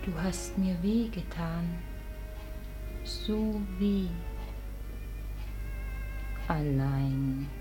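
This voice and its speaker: An actress playing a gentle, hurt young sweetheart in a lyrical verse radio drama, tender, breathy and dreamy. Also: female voice, on the low side, lively intonation, extremely slow, pauses often, music in the background. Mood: wistful, tender.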